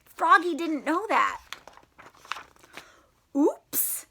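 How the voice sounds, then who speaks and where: A woman teacher reading a story aloud to children in an animated, encouraging way, often asking them questions. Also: sits high, a little slow, slight background noise.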